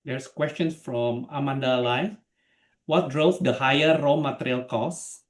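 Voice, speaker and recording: Echoey male moderator, medium pitch. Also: steady tone, unhurried. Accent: Indonesian. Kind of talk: formal call moderation. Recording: roomy, echoing sound.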